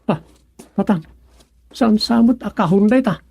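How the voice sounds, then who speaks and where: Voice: mid-range voice, a little slow, creaky, warm tone, slightly rough, no echo. An actor playing a wary younger villager in an Ilocano radio comedy drama, questioning and urging in an Ilocano accent.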